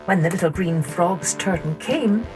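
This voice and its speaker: Woman telling kids stories, really slow and expressive, lowish voice, some music. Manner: expressive, measured storytelling. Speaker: storyteller, podcast host. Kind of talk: scripted children's story narration.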